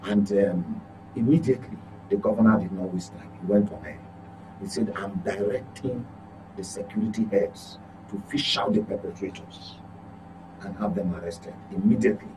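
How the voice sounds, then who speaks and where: A man's voice: on the low side, normal speed, noisy background. A Nigerian man speaking as a guest commentator in a political discussion, emphatic and repeating phrases for stress.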